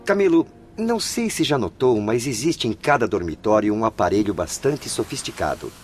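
An actor playing a patient, solemn spirit doctor in a radio drama, explaining in a formal, didactic way. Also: male voice, mid-range voice, very animated, moderate pace, faint music behind, close to an everyday voice. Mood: instructive.

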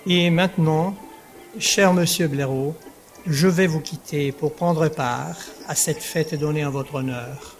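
An actor playing a courteous, indulgent provincial court president in a French audio drama, measured and formal. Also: male voice, fairly high pitch, soft background music.

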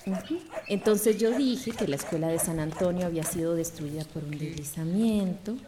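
An actress playing a warm, stubborn schoolteacher in love in a radio drama, with a Central American accent. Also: female voice, fairly low pitch, moderate pace, background noise, close to an everyday voice. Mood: excited. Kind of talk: radio drama acting and narration.

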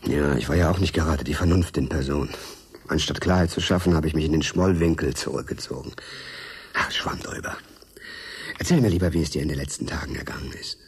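An actor playing an urgent, determined male journalist in a German radio drama, with a husky voice. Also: rumbling low, fairly quick, exaggerated voice. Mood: sober, resigned.

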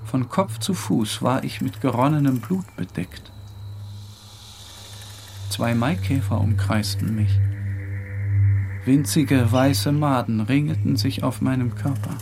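A man playing a tormented, half-mad painter in a dramatic literary narration, speaking with slow, halting intensity. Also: medium pitch, creaky, darkish tone, faint music behind. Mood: bleak, uneasy. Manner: slow, halting, intense, dropping at times to whispers.

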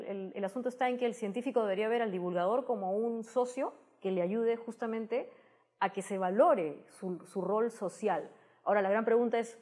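A woman's voice: medium pitch, mellow. A woman with a Peruvian Spanish accent giving explanatory, conversational interview answers, often ending phrases with a tag question. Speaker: interview guest, science communicator.

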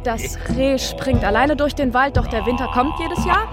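An actress playing a flippant young daughter of a chief who interprets in an offhand, casual way in a comic radio drama. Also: female voice, high-pitched, expressive, moderate pace. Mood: halting, reciting. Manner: casual, offhand, hesitant translating. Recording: music behind the voice.

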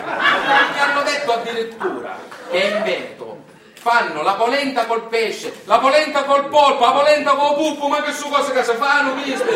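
A man's voice: high voice, fairly bright tone. An actor playing a folksy, garrulous Sicilian man in a theatrical monologue, with a colloquial, repetitive and emphatic way of talking and a Sicilian accent. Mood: incredulous, amused. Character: folksy, garrulous Sicilian man explaining recipes to his son. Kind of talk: theatrical storytelling monologue in dialect-laced colloquial speech. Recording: roomy, distant stage recording with faint noise.

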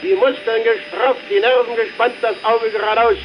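An actor playing a stern wartime field marshal in a radio drama, delivering a solemn, rousing proclamation. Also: male voice, extremely high pitch, level tone, moderate pace, highs cut off, noisy background. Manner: declamatory, solemn, exhorting.

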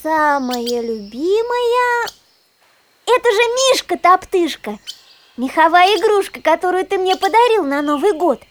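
Tiny curious little girl, super high childlike voice, eager, kids audio play. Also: big pitch swings, unhurried, exaggerated voice. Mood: tender. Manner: childlike, inquisitive.

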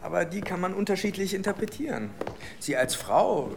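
An actor playing an oily, insinuating 1920s newspaper reporter in a radio drama, smooth-talking and suggestive. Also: male voice, high voice, animated, moderate pace, background noise.

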